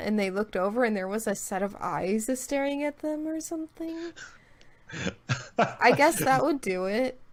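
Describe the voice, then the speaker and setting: Playful young woman with a raised voice. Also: a little high. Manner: playful, opinionated, joking. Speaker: guest co-host. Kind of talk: casual chat.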